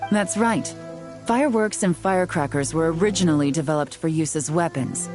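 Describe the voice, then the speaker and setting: Actress as a wry self-assured game heroine, low voice, music clear behind. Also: female voice, a little fast, natural voice. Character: confident sharp-witted young woman from a trading harbor. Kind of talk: video game voice acting.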